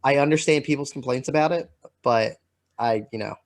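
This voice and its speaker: A male podcast co-host speaks at a medium pitch in a loose, casual style. He rambles and halts, circling through his thoughts with plenty of filler words. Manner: halting, rambling delivery peppered with "like". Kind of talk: casual chat.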